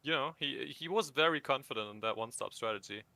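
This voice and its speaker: Bright, crisp-voiced male co-commentator. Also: medium pitch, no echo. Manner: halting, repeats words, upbeat. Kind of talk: casual live-stream commentary.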